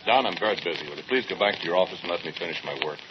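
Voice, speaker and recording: A man's voice: low voice, expressive, rapid-fire. An actor playing a cold, single-minded scientist in his forties, speaking precisely and with detachment in an old-time radio drama. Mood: curt, dismissive. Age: 40s. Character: cold, single-minded male research scientist, aged forty-seven. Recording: dull, noisy vintage radio recording.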